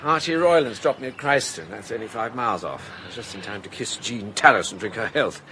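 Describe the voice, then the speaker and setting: An actor playing a breezy, adventurous gentleman who talks in a lively, brisk way. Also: medium pitch, noisy background, natural voice.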